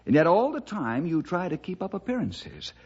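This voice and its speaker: Steady, devout American investigator, measured and firm, 1960s radio drama, slightly dull archival sound. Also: male voice, high voice, husky, full character voice. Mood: solemn. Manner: measured, probing, moralizing. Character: steady, principled man, devout leader and investigator. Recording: dull vintage radio recording.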